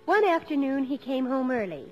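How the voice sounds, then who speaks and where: A young woman playing an earnest high-school daughter, telling her family's story expressively in a 1950s radio drama. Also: sing-song, normal speed. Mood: amused. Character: bright, earnest eldest daughter, a high-school girl, narrating. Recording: old radio broadcast with loud music.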